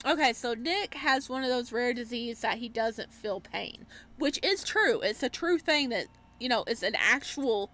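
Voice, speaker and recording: A woman giving casual, expressive, exclamatory commentary as she plays through a game and reads it out. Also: on the high side. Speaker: gaming streamer.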